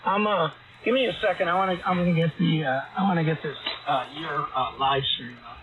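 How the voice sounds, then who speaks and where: A raspy-voiced man chatting casually as a ham radio operator, rambling and hesitant with many fillers. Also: fairly high pitch, brisk. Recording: tinny radio transmission with static.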